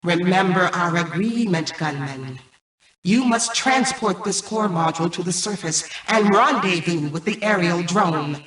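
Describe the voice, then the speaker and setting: A woman voicing a cold, arrogant mainframe intelligence in a video game, speaking in a formal, measured, commanding way. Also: lowish voice, a bit bright, slightly rough, rough recording. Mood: formal, detached. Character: cold, arrogant female mainframe artificial intelligence, calculating and imperious. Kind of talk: scripted video game voice acting.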